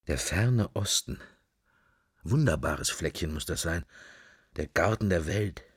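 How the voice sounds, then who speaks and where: Husky, low-voiced musing daydreamer. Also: male voice, animated, slow, no echo, full character voice. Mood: dreamy, languid. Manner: fragmentary, drifting, thinking to himself. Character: musing man in Dublin, idly drifting daydreamer. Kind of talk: interior monologue in a radio drama.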